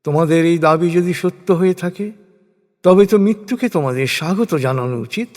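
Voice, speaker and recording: Man, fairly high voice, solemn scripture narration, deliberate pauses, cavernous reverb. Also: a little mellow. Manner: measured, deliberate phrasing with pauses. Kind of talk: solemn scripted religious recitation in prose. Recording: long hall-like reverb.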